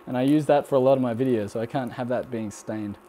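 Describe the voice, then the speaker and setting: A man's voice: medium pitch, brisk, a little hiss or hum. A young Australian man with a mild accent hosting a live cooking stream, chatting loosely with fillers while he answers viewer questions. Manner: rambling and relaxed, frequent ums, laughs often. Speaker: cooking channel host.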